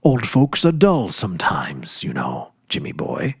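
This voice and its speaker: A man voicing a gentle sixteen-year-old farm girl in a storybook, a caring elder sister who speaks softly and patiently with quiet firmness. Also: fairly low pitch, huge pitch swings, unhurried, husky, no room sound, clean studio sound, full character voice. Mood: weary, gentle. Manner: soft, patient, gently firm.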